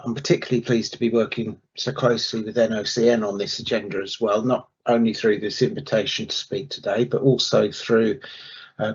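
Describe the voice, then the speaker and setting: Husky-voiced man with a sore throat. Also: fairly low pitch, darkish tone, lo-fi sound. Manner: measured, deliberate delivery with frequent fillers. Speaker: conference speaker. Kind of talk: prepared conference talk. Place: indoors, at a desk.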